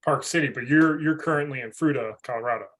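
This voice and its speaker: A man co-hosting a podcast who asks the guest questions in a relaxed, casual way. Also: medium pitch, fast. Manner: relaxed, says "man" a lot. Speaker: podcast co-host.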